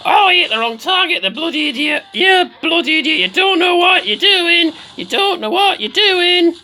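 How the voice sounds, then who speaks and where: Someone putting on the voice of a slightly mad, excitable woman doing theatrical video game commentary, repeating phrases for effect. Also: mid-range voice, fairly quick. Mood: exasperated. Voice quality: put-on comic voice. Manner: theatrical, repeats phrases, swoops between squeals and murmurs.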